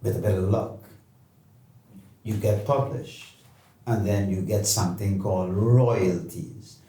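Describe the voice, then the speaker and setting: A man from Guyana, a writer speaking in a documentary interview in a measured way. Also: fairly low pitch, fairly even intonation, warm tone. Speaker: writer and poet. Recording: roomy, distant mic.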